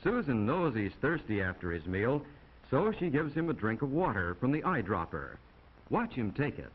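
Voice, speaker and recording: A man's voice: medium pitch, very animated, moderate pace, slightly rough. An American man narrating a 1950s classroom film in a folksy, instructive way with chatty asides to young viewers, on an old film soundtrack. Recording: dull, noisy 1950 film soundtrack.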